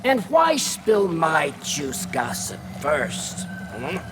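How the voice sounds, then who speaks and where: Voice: medium pitch, wildly swooping pitch, drawn-out words, full character voice. An actor playing a scheming, needy worm creature in a video game, theatrical and wheedling, speaking in clipped broken phrases. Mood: sly, wheedling. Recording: loud music underneath. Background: music.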